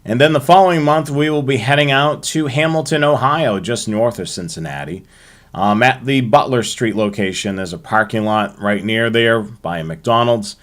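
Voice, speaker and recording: An American man hosting a hobby show, presenting to viewers in a halting way with frequent ums and uhs. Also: mid-range voice, fairly bright tone.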